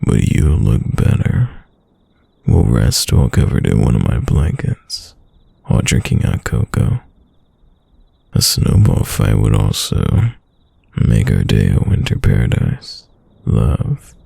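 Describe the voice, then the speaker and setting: A man playing a devoted, soft-spoken boyfriend in an intimate late-night roleplay ASMR, murmuring tenderly and musingly in a deep, gravelly, breathy voice. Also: sing-song, slow, no echo, full character voice. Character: devoted soft-spoken boyfriend whispering to his sleeping lover.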